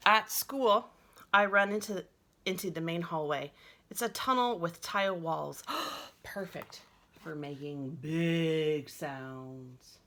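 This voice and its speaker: A woman's voice: sits low, slow, bright, forceful tone, full character voice. A woman voicing an imaginative, boisterous schoolboy in a children's storybook, dramatic and full of sound effects. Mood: playful, boisterous. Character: imaginative, boisterous schoolboy who loves noises. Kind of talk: children's storybook narration in character.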